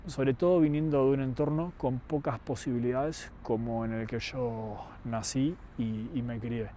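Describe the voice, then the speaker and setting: A young man with an Argentine accent, giving a reflective personal monologue in a deliberate, earnest, articulate way. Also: medium pitch, steady tone, warm tone, slight background noise. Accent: Argentine Spanish. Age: young adult. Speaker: vlogger.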